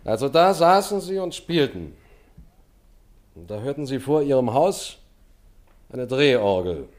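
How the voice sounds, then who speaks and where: A stern police investigator in a radio crime play. His medium-pitched voice moves widely as he questions in a clipped, insistent manner. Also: big pitch swings, measured pace, a little mellow. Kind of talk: German radio crime drama acting.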